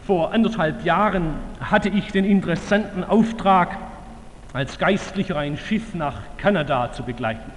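A man preaching a sermon to a large audience, slow and emphatic with fervent declamation and wry humor. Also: sits high, slight background noise. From Württemberg, Germany. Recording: reverberant hall, faint ambience.

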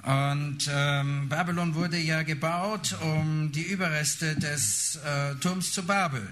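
Bright-voiced male sermon interpreter, halting. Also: fairly high pitch, fairly even intonation. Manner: halting, searching for words. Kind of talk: live consecutive interpreting of a sermon.

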